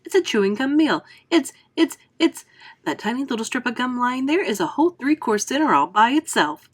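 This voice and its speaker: A woman voicing an eccentric, excitable male candy inventor who boasts grandly about his latest invention. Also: a little high, a little fast, husky, pitched above the performer's own voice. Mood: boastful.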